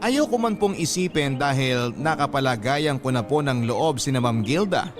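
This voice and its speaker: A man narrates a scripted letter for a radio love-story drama. His voice is medium in pitch, measured and earnest, with music clearly playing behind him. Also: animated. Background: background music.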